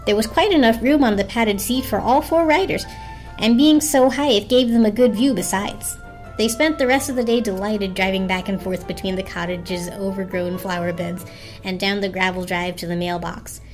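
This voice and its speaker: A woman's voice: mid-range voice, moderate pace. Female narrator for a storybook dramatic reading, mid-range voice, faint music underneath. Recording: music behind the voice.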